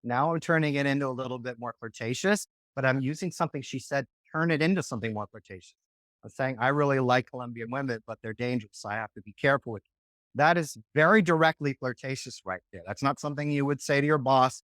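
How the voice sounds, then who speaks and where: A man's voice: medium pitch, quick pace, a bit bright, no room sound. Conversational man explaining, dry sound. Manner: conversational, often checks in with "right?". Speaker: dating coach. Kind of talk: podcast interview, explaining.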